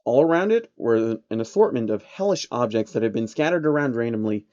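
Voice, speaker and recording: A man reads a story as a dramatic narrator. His intonation is lively and varied. The recording is dry and a bit lo-fi. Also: mid-range voice, brisk, no echo.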